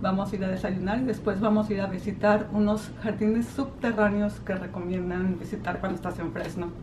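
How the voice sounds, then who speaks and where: A woman's voice: medium pitch, fairly even intonation, brisk, background noise. A middle-aged woman hosting a family travel vlog, narrating to the camera. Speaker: travel vlogger and host. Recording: music over the voice.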